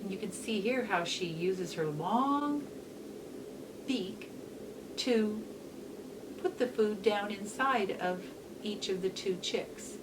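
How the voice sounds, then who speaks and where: Slow-paced woman teacher reading aloud, slightly distant. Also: medium pitch. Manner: deliberate, instructive phrasing with emphasis on key words. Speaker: read-aloud teacher and host. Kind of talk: educational read-aloud for children with explanatory commentary. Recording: distant mic with faint hiss.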